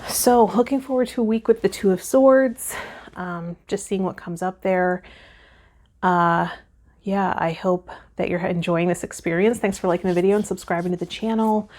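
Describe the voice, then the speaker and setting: A woman with a soft-edged, mellow voice presents a tarot vlog. Her pitch sits in the middle for a woman. She speaks in a measured, instructional manner, explaining cards and reading guidance aloud. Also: fairly even intonation. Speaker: tarot channel host.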